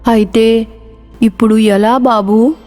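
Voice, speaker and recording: Woman as older village mother, caring, coaxing, fairly high voice, cartoon moral tale, soft music underneath. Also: steady tone, takes it slowly. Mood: concerned. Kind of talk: animated children's moral story dialogue.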